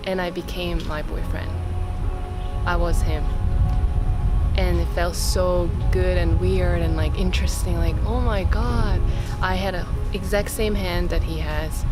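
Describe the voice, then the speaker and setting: Soft-spoken woman over loud music. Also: fairly low pitch. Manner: dreamy, awed, with many "like" fillers. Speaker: ceremony participant. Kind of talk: personal testimony.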